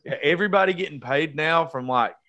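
A man hosts a casual chat show. His voice sits at a middle pitch. He talks bluntly and jokingly, with plenty of swearing thrown in. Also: brisk, sharp tone, dull audio. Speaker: show host.

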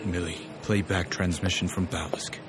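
A man's voice: low voice, flat delivery, moderate pace, background noise, lightly acted. A man playing a curt corporate manager under pressure, formal and controlled, in a sci-fi audio drama. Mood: brisk, commanding.

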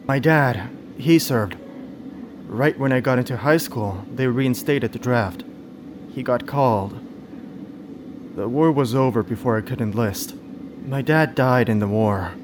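A man's voice: mid-range voice, unhurried, long pauses, background noise, natural voice. An actor in an audio drama playing a troubled, guarded young man of nineteen who speaks hesitantly. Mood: somber. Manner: hesitant, subdued. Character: troubled, guarded nineteen-year-old man, fatherless after war.

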